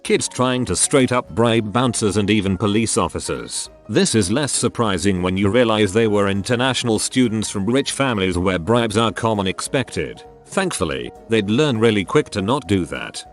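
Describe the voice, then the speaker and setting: A man narrating a string of short online stories as scripted readings, in a steady, even manner. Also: on the low side, moderate pace, hardly any pauses, soft background music. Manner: steady, even reading of short anecdotes one after another.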